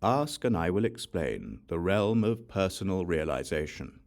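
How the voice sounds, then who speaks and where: A man reading a scripture audiobook, reciting verse in a measured, deliberate and solemn manner. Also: sits low, sing-song, darkish tone. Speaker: audiobook reader.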